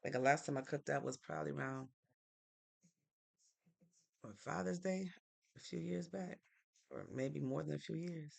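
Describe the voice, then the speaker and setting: Woman, live-stream host, very gravelly fry, easygoing chatty manner, from Connecticut. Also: sits low, normal speed, long pauses. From Norwalk, Connecticut, United States. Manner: easygoing and chatty, thinks aloud with fillers. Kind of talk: casual live-stream chat reading viewer comments.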